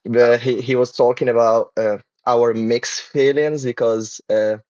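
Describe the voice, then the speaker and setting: A man's voice: mid-range voice, fairly even intonation, a little slow, darkish tone, dry recording. A man from Morocco hosting a casual podcast chat, talking with many fillers and restarts. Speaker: podcast host. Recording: dull lo-fi dry sound.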